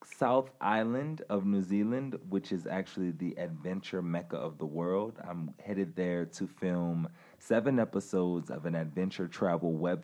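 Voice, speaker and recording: Man, podcast co-host, deep voice, formal announcer delivery. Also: a little mellow. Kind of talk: podcast introduction and interviewing.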